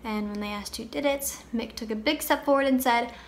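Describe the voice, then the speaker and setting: A young woman playing a candid, grieving girl in a conversational, wistful dramatic monologue with pauses. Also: fairly high pitch, lightly acted. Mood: fond, playful.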